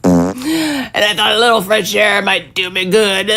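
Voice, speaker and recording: A woman's voice: mid-range voice, big pitch swings, slow pace, a bit bright, full character voice. A woman playing a sick, weary, wryly irreverent mother in an audio drama, with a cough in her voice. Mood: reassuring, frail.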